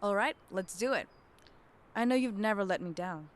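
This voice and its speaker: A woman's voice: mid-range voice, moderate pace, close to an everyday voice. An uneasy young wife, acted by a woman in an audio drama. She has a mid-range voice and speaks in a subdued, hesitant way, as if holding back doubts.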